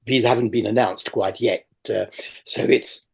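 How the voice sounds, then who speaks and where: Older man, gravelly and hesitant. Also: fairly low pitch, steady tone, measured pace. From raised in France. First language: French. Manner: rambling, hesitant with many fillers. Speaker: awards chair interviewee. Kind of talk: conversational interview.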